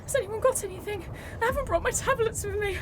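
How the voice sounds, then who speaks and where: A woman's voice: very high-pitched, fast talker. A woman acting a pompous, self-important, melodramatic society lady in an amateur radio play. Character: haughty, self-important, fussing lady who lunches. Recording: clearly noisy amateur recording.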